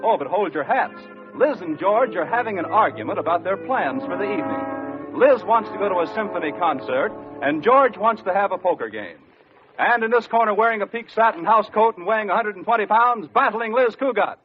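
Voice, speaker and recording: American guy, old radio announcer, high showy voice, music behind, muffled recording. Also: lively intonation, a little fast. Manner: theatrical, ring-announcer showmanship. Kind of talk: scripted 1940s-50s radio announcing.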